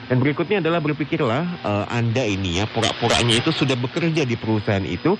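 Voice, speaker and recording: A man's voice: mid-range voice, expressive, quick pace. A man co-hosting a conversational radio show and giving tips in an agreeable way, over shortwave radio. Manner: agreeable, frequent affirmations. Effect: shortwave radio reception. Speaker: radio co-host. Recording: very noisy shortwave radio broadcast.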